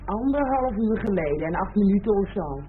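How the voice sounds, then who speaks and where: An actress in a Dutch radio play as a weary, unimpressed woman, curt and deadpan, on a muffled old recording. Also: medium pitch, a little fast, faint music behind, close to an everyday voice. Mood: weary, dry. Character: weary, dry, unimpressed female partner.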